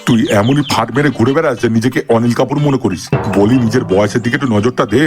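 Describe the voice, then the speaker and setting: A man's voice: medium pitch, quick pace, music in the background, lightly acted. A man voicing an exasperated, foul-mouthed middle-aged brother-in-law in a comic cartoon, scolding and sarcastic.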